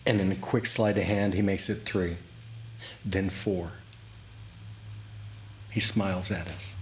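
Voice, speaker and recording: A man giving a dramatic, reflective reading of his own memoir story, with deliberate pauses. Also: low voice, steady tone. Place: indoors in a historic house, live reading. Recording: clearly noisy live room recording.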